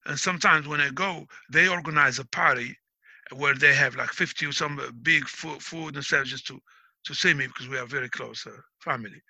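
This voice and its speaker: A middle-aged man speaks with a piercingly bright, tense tone, laying things out as if teaching a class. His delivery is proud and emphatic. The sound is dry and close, with no room echo. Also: on the high side, fairly quick, vocal fry. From Algeria. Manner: explains like a teacher. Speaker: interviewee. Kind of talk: casual interview talk.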